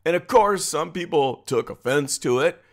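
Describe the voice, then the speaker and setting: A man's voice: fairly high pitch, lively intonation, moderate pace. A middle-aged American man hosting a casual reaction commentary, slangy and amused in manner. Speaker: political commentary video host.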